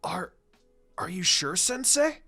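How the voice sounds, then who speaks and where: A man's voice: sits high, sing-song, slow pace, vocal fry, bright, forceful tone, heavily acted. A man voicing an earnest, eager young ninja boy in a story reading, with a pleading manner. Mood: awestruck, unsure.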